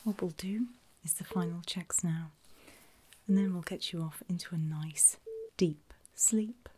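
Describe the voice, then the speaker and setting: A woman whispering in a breathy, gentle and reassuring way, unhurried, as a nurse in an ASMR medical roleplay. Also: fairly low pitch. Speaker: ASMR performer playing a surgical nurse.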